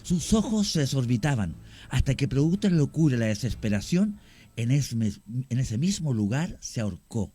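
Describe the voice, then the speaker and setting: A man's voice: mid-range voice, big pitch swings, mellow, slightly rough. Man telling a ghost legend. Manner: measured storytelling with filler "eh". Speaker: guest storyteller.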